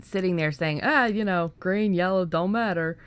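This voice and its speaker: A woman's voice: on the low side, normal speed, smooth. A woman podcast co-host chatting casually in an informal, salty-tongued way. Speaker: podcast co-host on fiction writing. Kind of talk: casual chat.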